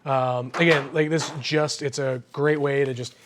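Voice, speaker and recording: Excitable man hosting an unboxing. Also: medium pitch, a little slow, a little hiss or hum. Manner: enthusiastic, exclamatory, slangy. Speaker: host of an unboxing show. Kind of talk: casual, excited product unboxing chat.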